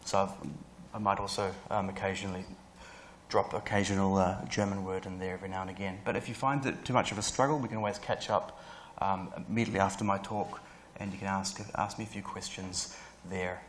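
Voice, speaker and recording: A man in his thirties with a New Zealand accent, giving a conference talk in a lecture hall, rushing along with many fillers and restarts. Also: low-pitched, steady tone, slight background noise. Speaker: guest speaker. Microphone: lapel mic.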